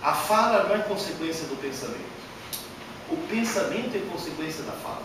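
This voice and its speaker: Guy giving a lively talk, kinda high voice, noisy roomy recording. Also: fairly quick. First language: not Portuguese. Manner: animated, emphatic, rhetorical questions. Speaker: lecturer. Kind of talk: workshop lecture. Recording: echoey hall from far off, noisy.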